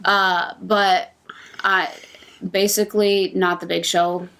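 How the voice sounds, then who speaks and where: A woman's voice: mid-range voice, flat delivery, slow, a bit bright, slight background noise. A woman chatting casually as a podcast panelist, giving her opinions conversationally.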